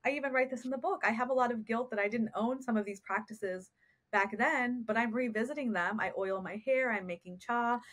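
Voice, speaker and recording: A woman's voice: a little high, brisk, a bit bright. A woman, a therapist speaking as a guest on a podcast interview, earnest and reflective, often posing rhetorical questions. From United States.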